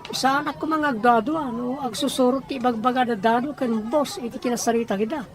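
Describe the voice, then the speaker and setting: A woman acts a probing, persistent questioner in an Ilocano radio drama. The voice is fairly high, with an Ilocano accent, and keeps pressing for answers. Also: fairly quick, a faint crowd, lightly acted. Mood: questioning. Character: probing, persistent questioner digging into a suspicious death.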